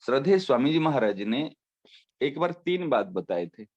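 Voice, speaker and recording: A man giving a devotional discourse as a spiritual teacher, explaining with everyday examples and slowly repeating his key phrases. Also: medium pitch, big pitch swings, darkish tone. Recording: dull lo-fi recording.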